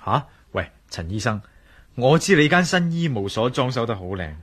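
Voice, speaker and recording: A man's voice: medium pitch, very animated, moderate pace, breathy edge. A man with a Hong Kong Cantonese accent playing an earnest, questioning investigator hero in a radio drama. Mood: impatient. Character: male science fiction hero, troubled, questioning, determined investigator. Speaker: voice actor.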